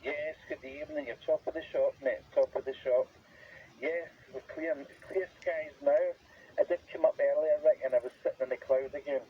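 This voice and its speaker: A man's voice: a little high, fairly even intonation, normal speed. A British man chatting casually as a radio operator, his voice coming through a CB radio. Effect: CB radio transmission. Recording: muffled radio transmission with faint static.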